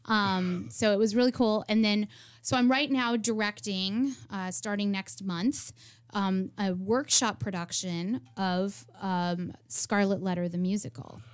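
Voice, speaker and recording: A woman's voice: mid-range voice, steady tone, measured pace, clean tone. Chatty actress in her forties. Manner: chatty and upbeat, many "um"s and restarts. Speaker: guest, actress and theater director. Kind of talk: casual radio interview chat.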